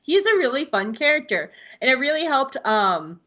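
Gushing, excitable woman podcaster. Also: high-pitched, moderate pace. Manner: gushing, excitable, swears and laughs often. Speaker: podcast host. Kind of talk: casual podcast chat. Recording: dull lo-fi sound.

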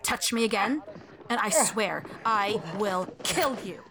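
A woman's voice: medium pitch, lively intonation, slow, a crowd in the background. A woman acting in an audio drama as a cold, scornful lover walking out, with a biting, menacing delivery. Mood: cold, bitter.

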